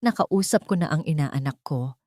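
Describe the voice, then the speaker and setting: A woman's voice: sits low, lively intonation, normal speed, mellow, no room sound, clean studio sound. A woman voicing a loyal elderly housekeeper in an audio drama, earnest, emotional and fiercely resolute. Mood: conspiring. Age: older.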